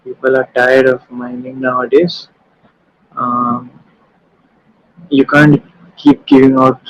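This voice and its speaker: A man's voice: mid-range voice, flat delivery, takes it slowly, no echo. A man arguing his opinion as a participant in a community discussion. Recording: dry, dull lo-fi audio.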